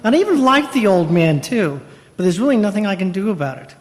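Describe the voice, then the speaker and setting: Man as indignant prosecutor, high emphatic voice, old radio play delivery, long reverb. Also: sing-song, quick pace. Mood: exasperated. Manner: exasperated, emphatic. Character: harried, indignant male prosecutor and family man.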